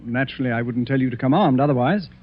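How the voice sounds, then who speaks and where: An actor playing an imperious, self-assured, egotistical master detective in a 1940s radio mystery, with portentous delivery. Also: male voice, medium pitch, fast talker, close to an everyday voice. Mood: smooth, commanding. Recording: muffled, hissy vintage 1940s radio recording.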